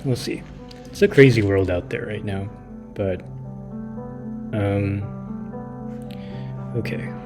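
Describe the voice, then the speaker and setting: Male gaming streamer from California, native Mandarin speaker, casual rambling chat, soft game music behind. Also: on the low side, takes it slowly, pauses often. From California, near San Francisco. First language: Mandarin Chinese. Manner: rambling, many fillers and restarts.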